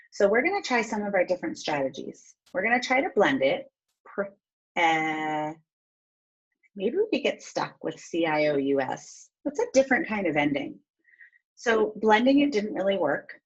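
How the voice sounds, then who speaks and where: Woman, teacher read-aloud, very animated intonation, slightly husky, instructive asides, storybook narration. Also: mid-range voice, highs cut off. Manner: instructive, thinks aloud step by step.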